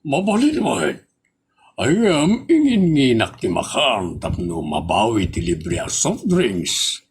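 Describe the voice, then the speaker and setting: A man with an Ilocano accent playing a wily, money-minded older eatery owner in a comedy drama, measured and crafty. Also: medium pitch, wildly swooping pitch, a little hiss or hum, full character voice.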